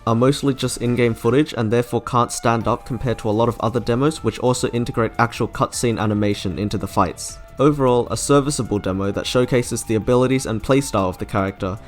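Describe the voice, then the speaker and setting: Male video reviewer over faint music. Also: lowish voice. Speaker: video game YouTuber, reviewer. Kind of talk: scripted review narration.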